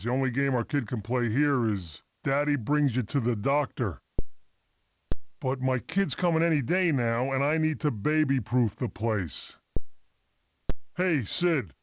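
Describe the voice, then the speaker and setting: An actor voicing an expressive, wisecracking mammoth father-to-be in an animated family game. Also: mid-range voice, measured pace, slight background noise, close to an everyday voice. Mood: earnest, wry.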